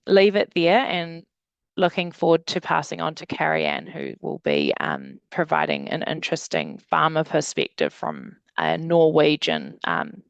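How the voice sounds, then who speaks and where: A woman's voice: deep voice, vocal fry, no room sound. A woman researcher presenting in a webinar, speaking hesitantly with many fillers. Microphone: video call.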